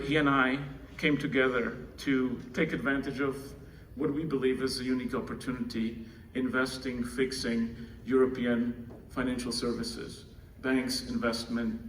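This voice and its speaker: A man with a Czech accent speaks informally to a conference from a podium-style introduction. His voice is bright and forceful, with fairly even pitch, picked up at a distance with faint noise. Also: moderate pace, few pauses. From Czech Republic. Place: indoor conference room. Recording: distant mic, faint noise, room ambience.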